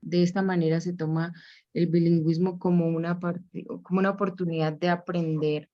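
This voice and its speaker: A woman giving an explanatory academic presentation on education, speaking in a measured way with frequent hesitation fillers. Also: fairly low pitch, flat delivery. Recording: dull lo-fi recording.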